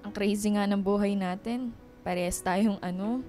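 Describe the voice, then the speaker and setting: A woman's voice: mid-range voice, steady tone, normal speed, mellow, soft background music, close to an everyday voice. A young woman playing a wounded, brooding daughter in a radio drama, plaintive and conversational, mixing in some English. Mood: aggrieved.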